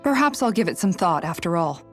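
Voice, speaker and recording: A woman's voice: fairly low pitch, sing-song, fairly quick. A woman playing a dutiful, composed young former palace guard in a video game, speaking earnestly and considerately. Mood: thoughtful. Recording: music underneath. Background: background music.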